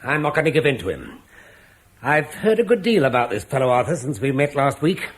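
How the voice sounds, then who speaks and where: An actor playing an irritable, stubborn Roman landowner who blusters indignantly in a radio play. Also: male voice, mid-range voice, big pitch swings, fairly quick, natural voice. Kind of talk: 1950s radio play acting.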